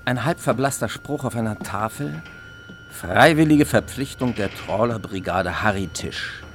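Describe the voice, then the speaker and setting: An actor playing a sombre investigator who narrates a ship inspection in measured, literary radio drama style. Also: male voice, lowish voice, animated, slight background noise. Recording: loud music bed under voice.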